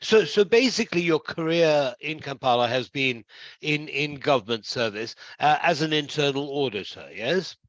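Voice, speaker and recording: A man's voice: fairly high pitch, expressive, normal speed, no echo. Middle-aged man, tutor-host, friendly rambling delivery, dry dull call audio. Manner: hesitant and warm, lots of restarts and fillers. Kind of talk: casual interview chat. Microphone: video call.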